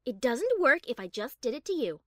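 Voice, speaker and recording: A woman voicing a prim high-class pony in a fan audiobook, formal, haughty and drily sardonic, yet fond. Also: big pitch swings, normal speed, no echo, clean studio sound. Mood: haughty, amused. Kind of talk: fan audiobook character acting.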